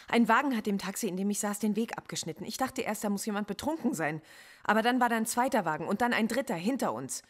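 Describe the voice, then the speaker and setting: An actress playing a philosophy professor under police interrogation in a radio play, guarded and indignant. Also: female voice, on the high side. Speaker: detained suspect.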